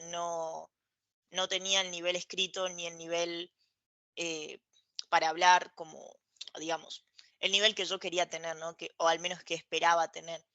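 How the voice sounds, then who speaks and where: A woman's voice: lowish voice, pushed and bright, dry recording. A woman, explaining her experience as a podcast guest and checking in with tag questions. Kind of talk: casual conversation, explaining. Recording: dry, dull lo-fi audio.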